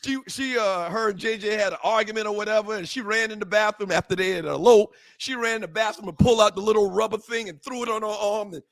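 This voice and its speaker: A man's voice: high-pitched, fast, hardly any pauses, fairly bright tone, no room sound, clean studio sound. A man co-hosting a casual morning talk show, bantering and telling jokey stories. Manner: joking, storytelling banter. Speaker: co-host.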